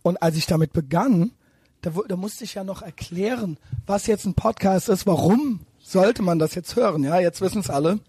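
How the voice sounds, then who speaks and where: A hoarse man hosting a podcast, chatting in a fast, cocky way and dropping English phrases. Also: sits high, warm tone, dry recording. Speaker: podcast host. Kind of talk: casual podcast banter.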